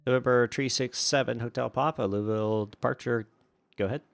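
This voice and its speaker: A man acting as a virtual air traffic controller, switching between radio phraseology and casual, relaxed chat to a stream. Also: mid-range voice, a little fast, creaky, no echo, faint music behind.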